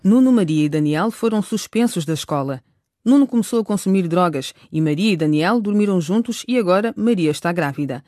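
A narrator giving a clear, measured recap of a radio drama. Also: medium pitch, lively intonation, darkish tone, dry recording, clean studio sound. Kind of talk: scripted narration.

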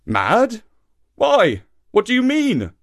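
A man's voice: on the high side, huge pitch swings, unhurried, pitched above the performer's own voice. A man voicing a friendly but scheming, rather gullible shark in an animal folktale, coaxing and emphatic. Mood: impatient.

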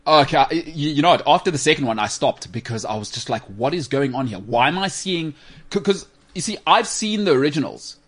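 A man with a South African accent hosting a talk show, giving opinions in casual, slangy, animated banter. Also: a little high, fairly quick, slightly rough. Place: studio.

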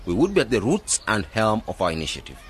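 A man's voice: fairly low pitch, huge pitch swings, quick pace, fairly bright tone, slight background noise. A man acting in a radio drama as an earnest, idealistic, determined young graduate planning a village project.

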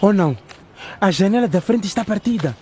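A man's voice: sits high, lively intonation, a little fast, warm tone, a little hiss or hum. A young man playing an emotional, anxious schoolboy son in a radio drama. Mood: alarmed. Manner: emotional, talking to himself. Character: sulky, anxious schoolboy son, easily alarmed.

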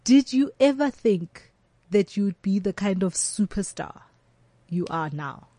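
A South African woman hosts the interview, pressing her guest with conversational questions. She speaks at a middle pitch for a woman. The recording is dry and close. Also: expressive, unhurried, warm tone, no echo. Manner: probing, conversational questions mixing English with Zulu. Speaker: interviewer host.

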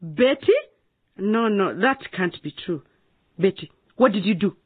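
A woman's voice: mid-range voice, animated, a little slow, husky, no echo, narrow, tinny audio. An actress playing a stern but encouraging schoolteacher in a radio drama, questioning and commanding her class. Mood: stern, challenging.

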